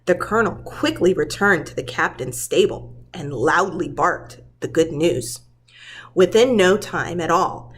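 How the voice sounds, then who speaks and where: A woman who works as a preschool teacher, reading a children's story aloud in a dramatic, deliberate storytelling manner. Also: mid-range voice, slightly rough.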